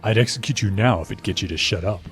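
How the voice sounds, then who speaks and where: An actor playing a gruff, impatient guard in an audio drama, curt and threatening. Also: male voice, fairly low pitch, quick pace, natural voice. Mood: curt, menacing. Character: gruff, impatient male guard serving a religious regime.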